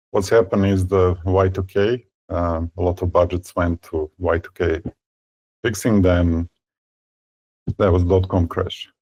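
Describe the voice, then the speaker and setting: A man in his fifties from Serbia, speaking as a guest in an interview. He talks haltingly, with many fillers and repeated words. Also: sits low, a little mellow, poor audio. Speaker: tech company founder, guest. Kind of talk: conversational interview.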